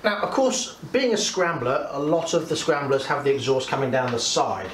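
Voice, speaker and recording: A man's voice: mid-range voice, big pitch swings, normal speed. A man in his forties, hosting, explaining a motorcycle build to camera in a casual, chatty way while working outdoors by a shed. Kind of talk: casual workshop chat. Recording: echoey, distant, faint noise.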